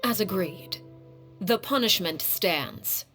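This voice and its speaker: An actress playing a cold, commanding woman leader in a video game, speaking with measured, formal menace. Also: mid-range voice, animated, bright, forceful tone, faint music behind, full character voice. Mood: calm, solemn authority. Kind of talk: dramatic video game voice acting.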